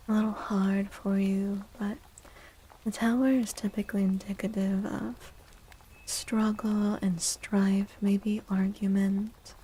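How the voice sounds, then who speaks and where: A soft-spoken woman hosting an ASMR livestream, gentle and unhurried, with a breathy, often whispered voice. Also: mid-range voice, slight background noise. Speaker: livestream host and tarot reader.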